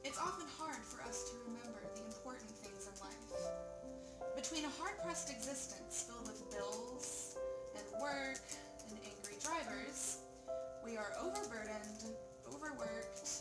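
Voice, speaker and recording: A woman playing a thoughtful, tender mother who narrates her blog in measured, deliberate phrases for an audio play. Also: fairly high pitch, expressive. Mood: reflective. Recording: roomy, distant mic under loud music. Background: loud music.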